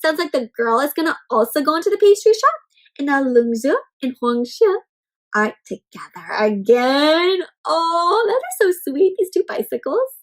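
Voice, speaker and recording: A woman with a high voice reads a picture book to young children. She is expressive and interactive, exclaiming over things and often putting questions to her young listeners as she goes. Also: unhurried. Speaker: children's read-aloud storyteller.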